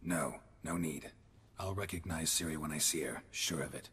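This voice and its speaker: An actor playing a gruff, stoic monster hunter in a fantasy game, flat and laconic in delivery. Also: male voice, rumbling low, normal speed, full character voice. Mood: dry, matter-of-fact. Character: gruff, stoic monster-hunting witcher, adult man, dry-humored.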